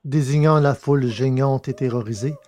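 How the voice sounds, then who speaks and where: A man reading a science fiction story aloud in a steady, measured narration. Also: mid-range voice, slight background noise. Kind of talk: scripted audiobook narration.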